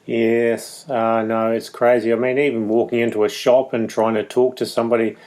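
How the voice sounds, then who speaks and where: Easygoing Kiwi host. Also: male voice, mid-range voice, flat delivery, moderate pace, darkish tone. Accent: New Zealand. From New Zealand. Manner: easygoing, chatty, laughs along. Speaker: podcast host. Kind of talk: casual chat.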